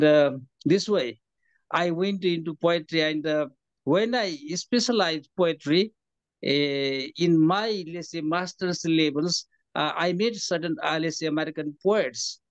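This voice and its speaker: A man's voice: fairly high pitch, no echo. A man in his fifties with a Nepali accent, answering interview questions over a phone video call, often hesitating with fillers. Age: 50s. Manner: hesitant, many "uh, let's say" fillers. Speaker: guest, poet and professor. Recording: dull lo-fi phone call audio.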